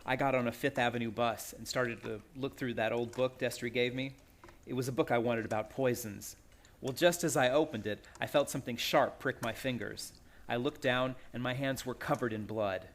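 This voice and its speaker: A man's voice: mid-range voice, moderate pace, lightly acted. An actor playing a proud, nerve-racked young man in a suspense radio play, earnest and tense. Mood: haunted, bitter.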